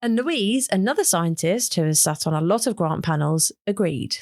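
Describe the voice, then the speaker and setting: Podcast narrator, female, lively and varied intonation, recorded dry. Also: lowish voice, normal speed, no echo. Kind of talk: scripted narration.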